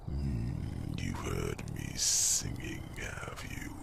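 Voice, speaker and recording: A man playing an ancient, tender, wise dragon in an ASMR fantasy roleplay, murmuring slowly in a gravelly half-whisper. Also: rumbling low, expressive, heavy background noise, heavily acted. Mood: tender, teasing. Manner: slow, pausing, murmuring. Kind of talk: ASMR fantasy roleplay, slow intimate monologue.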